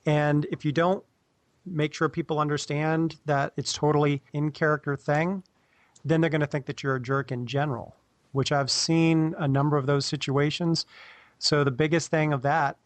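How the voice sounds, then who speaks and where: A man's voice: on the high side, flat delivery, moderate pace, no room sound. A man talking casually as a podcast panelist, acting out bits of imagined dialogue to make his points.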